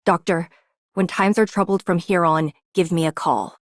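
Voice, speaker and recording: A woman's voice: on the low side, fairly even intonation, moderate pace, no room sound, studio quality, natural voice. An actress playing a loyal, steadfast female officer in a game, with a firm and sincere delivery. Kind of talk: scripted game character voice acting.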